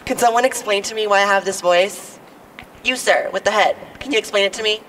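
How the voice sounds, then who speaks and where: A young woman with a low voice, a little sick, performing a stand-up comedy monologue with playful, teasing asides to the crowd. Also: a little fast, a bit bright. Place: outdoors on building steps at a podium. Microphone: podium mic. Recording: distant outdoor mic, faint noise.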